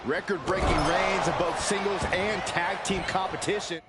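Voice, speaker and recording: Guy doing excited wrestling play-by-play, high voice, loud noise behind him. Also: moderate pace. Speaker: wrestling commentator. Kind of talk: excited play-by-play sports commentary. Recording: very noisy game broadcast audio.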